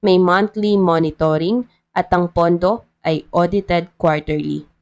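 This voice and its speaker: A woman with a Filipino accent voicing a strict, principled female town treasurer in a story, calm, formal and resolute. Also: fairly low pitch, a little slow.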